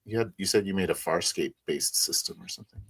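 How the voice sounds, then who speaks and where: A man with a very low voice and a husky grain speaks in casual, slangy chat. He is effusive and loose in his phrasing. The sound is dry, with little room in it. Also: fast, no echo. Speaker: tabletop roleplay player. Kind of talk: casual post-game chat.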